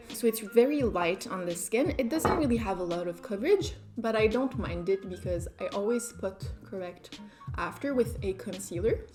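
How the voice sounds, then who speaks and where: A young French Canadian woman talks through her makeup routine in an easygoing, self-deprecating way. Her French-accented English sits at a middle pitch, and soft music plays faintly underneath. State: just woke up. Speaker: beauty vlogger. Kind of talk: casual makeup tutorial.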